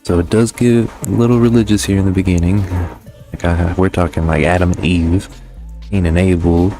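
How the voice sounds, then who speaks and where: A man's voice: deep voice, steady tone, moderate pace, warm tone, soft background music. A man hosting a casual video game review, talking in a slangy, informal, conversational way. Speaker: host of a game review channel.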